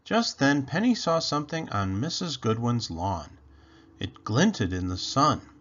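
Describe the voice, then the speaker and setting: A man reading a children's story aloud in an expressive, animated, deliberate way. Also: mid-range voice, muffled recording. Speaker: storybook read-aloud host.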